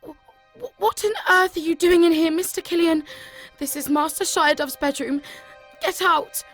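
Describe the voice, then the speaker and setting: An actress playing a polite, deferential nanny in a wealthy household in an audio drama. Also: female voice, very high-pitched, fairly even intonation, normal speed, faint music behind. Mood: alarmed. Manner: courteous, proper servant's speech.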